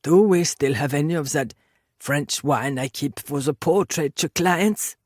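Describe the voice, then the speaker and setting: A woman voicing a bewildered man, a painter slow on the uptake, in an audiobook with puzzled delivery. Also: deep voice, darkish tone, dry recording, clean studio sound, pitched below the performer's own voice.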